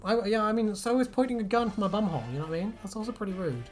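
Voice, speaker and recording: A man's voice: sits high, expressive, rapid-fire. Joking man chatting over music. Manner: joking, rambling, crude humour. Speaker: host. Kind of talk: casual chat.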